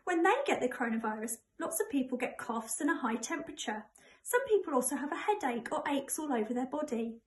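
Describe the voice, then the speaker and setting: Middle-aged English woman, notably high voice, primary school storytime read-aloud. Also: expressive, a little fast. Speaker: primary school staff member reading to pupils. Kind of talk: children's book read aloud.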